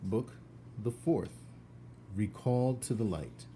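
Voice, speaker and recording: A man reading a children's storybook aloud in an expressive narrating style. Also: lowish voice, slow pace, slight background noise.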